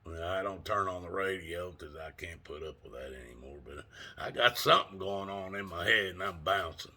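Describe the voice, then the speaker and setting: A man's voice: sits low, expressive, normal speed, pushed and bright, breathy edge. A man in his sixties telling a rambling, folksy story in a casual vlog, laughing often and cursing mildly. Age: 60s. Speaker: vlogger.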